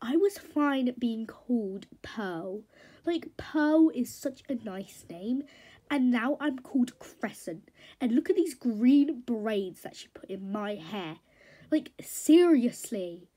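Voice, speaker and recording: Woman acting as snooty horse character, high voice, swooping pitch, indignant, dramatic drawn-out emphasis, toy-story roleplay. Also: animated, warm tone, slightly rough, heavily acted. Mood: sulky, annoyed. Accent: put-on accent unlike the other characters'. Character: haughty, easily offended mare, spoiled and dramatic newcomer.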